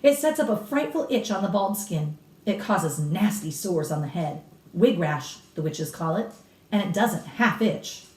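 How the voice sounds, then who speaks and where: A woman's voice: lowish voice, expressive, a little slow, darkish tone. A woman voicing a blunt, authoritative elderly grandmother from a storybook, explaining with emphatic relish and a snappish edge. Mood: instructive, relishing. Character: cigar-smoking old grandmother, authoritative, blunt, relishing grisly witch lore. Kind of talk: storybook read-aloud character. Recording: roomy echo, distant microphone.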